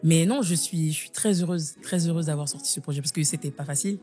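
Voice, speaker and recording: A young woman from Guiana, a singer answering interview questions casually, pausing often on fillers and restarting her sentences. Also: deep voice, warm tone, faint music behind. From French Guiana. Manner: hesitant, many fillers and restarts. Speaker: singer, guest.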